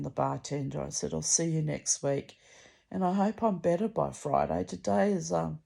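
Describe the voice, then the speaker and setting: A middle-aged woman with a deep, gravelly voice, rambling candidly in a sweary, dramatic, confessional monologue. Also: warm tone. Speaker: personal vlogger.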